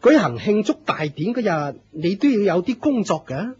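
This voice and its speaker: An actor playing a proud, fervent leader of a fire cult in a Hong Kong Cantonese radio drama, declaiming with pompous authority. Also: male voice, high voice, sing-song, normal speed, darkish tone, breathy edge, lo-fi sound, exaggerated voice. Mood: authoritative.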